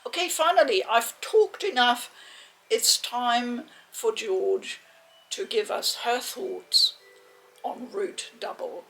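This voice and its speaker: A woman's voice: a little high, fairly bright tone, slight background noise, low-quality recording. A woman hosting a game review video, talking casually to viewers. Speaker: game review channel host. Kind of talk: casual unboxing and review talk.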